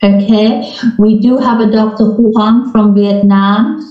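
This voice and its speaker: Older woman, slow and mellow, chatty group host, video call, distant and roomy sound. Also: medium pitch, fairly even intonation. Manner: chatty, encouraging, interrupts gently. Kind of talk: casual video call chat. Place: small indoor room. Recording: echoey, distant, dull video call audio.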